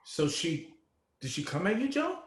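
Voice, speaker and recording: A man's voice: on the high side, huge pitch swings, moderate pace. Man acting a echoey, naive, anxious questioner. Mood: anxious, curious. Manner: credulous, inquisitive. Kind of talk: theatrical table reading of a stage play. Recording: echoey room with background noise.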